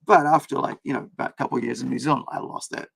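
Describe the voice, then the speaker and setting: A man born in India, with Hindi as his first language, chats on a live stream from his home setup in a rambling, unscripted way. His voice is gravelly at a middle pitch, and the sound is dry and close. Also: fairly even intonation, racing, a bit bright, no echo. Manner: rambling, talks to chat, many fillers and restarts. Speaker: comic creator and streamer. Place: home room with several screens.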